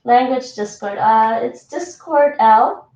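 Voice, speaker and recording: A woman's voice: fairly high pitch, slow pace, a little mellow, recorded from a distance, dull audio. Chatty woman streaming from an echoey kitchen. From Toronto, Canada. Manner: chatty, answers chat, laughs often. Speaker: livestreamer. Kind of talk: casual livestream chat while cooking. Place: home kitchen. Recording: echoey room, voice off-mic.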